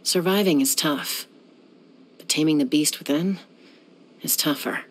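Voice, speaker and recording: A woman's voice: sits low, a little slow, slightly rough. A young woman voicing a self-assured, dryly teasing gunslinger who flies and settles disputes, in video game voice lines. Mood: cool. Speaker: game character.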